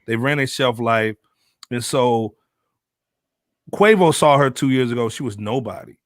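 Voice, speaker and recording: A man's voice: mid-range voice, unhurried, no echo, clean studio sound. A man with an American accent hosting a casual panel podcast in slangy, colloquial speech. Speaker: podcast host.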